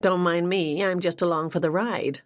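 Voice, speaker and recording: A woman's voice: lowish voice, steady tone, normal speed, no echo, clean studio sound, close to an everyday voice. A woman reader voicing a breezy, mischievous sister with a Boston accent, teasing in tone.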